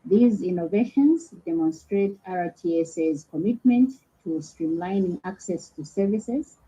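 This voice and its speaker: A woman's voice: fairly low pitch, animated, a little slow, warm tone, husky. A woman reading out a formal prepared statement as an agency spokesperson, on a muffled recording. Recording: muffled, dull lo-fi clip.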